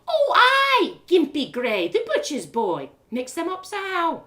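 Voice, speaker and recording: A woman's voice: very high-pitched, wildly swooping pitch, a little slow, pushed and bright, shouting, pitched above the performer's own voice, heavily acted. A woman voicing a cheerful, doting village mother from a storybook, teasing and coaxing in rustic phrasing. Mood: breezy. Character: cheerful doting village mother, nudging her daughter to marry. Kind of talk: storybook character voice.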